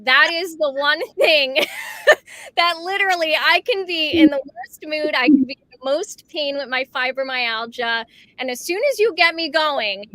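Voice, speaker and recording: A woman's voice: sits high, measured pace, bright, forceful tone, no room sound, poor audio. A woman, an effusive and talkative podcast guest telling her story conversationally. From born in Slovakia, grew up in America from age five. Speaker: podcast guest, life coach.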